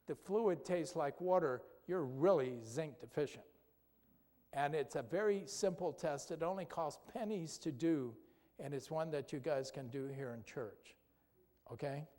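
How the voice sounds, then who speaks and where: Male lecturer, higher-pitched, chatty Q&A style, church hall with long reverb. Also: unhurried, warm tone. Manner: conversational, asks the audience questions, tag "okay?". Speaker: doctor giving a health talk. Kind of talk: health lecture with audience questions.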